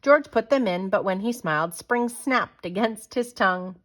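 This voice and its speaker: A woman reading a children's picture book aloud as a storyteller, with dramatic, deliberate delivery. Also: medium pitch.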